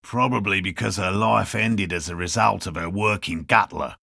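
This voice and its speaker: A man playing an earnest, slightly defensive cryptozoologist in a video game, with a raspy, gravelly voice and a measured, academic, matter-of-fact manner. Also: sits low, bright, forceful tone, no echo, clean studio sound, full character voice. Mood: reflective, dry. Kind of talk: video game character dialogue.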